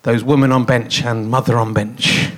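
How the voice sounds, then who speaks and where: A man's voice: medium pitch, fairly even intonation, normal speed. A middle-aged man with a snotty cold hosting a comic live podcast on a theatre stage, rambling and self-mocking with lots of fillers. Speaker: podcast host.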